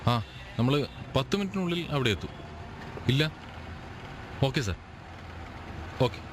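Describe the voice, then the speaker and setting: A man playing a dutiful, sympathetic escort officer with a measured, hesitant manner in a radio drama. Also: mid-range voice, expressive, background noise, lightly acted. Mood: businesslike.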